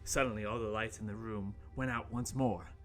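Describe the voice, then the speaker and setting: A man's voice: fairly low pitch, steady tone, moderate pace, faint music behind, lightly acted. A man playing a jumpy young intern, narrating his horror tale in a melodramatic, ominous way for an amateur radio play. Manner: melodramatic, ominous storytelling broken by shouted sound effects.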